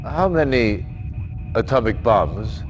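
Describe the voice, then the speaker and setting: A man's voice: mid-range voice, sing-song, slow, over music. An actor playing a stern male Allied commander who gives clipped, authoritative mission briefings in a game cutscene. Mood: commanding. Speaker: military briefer.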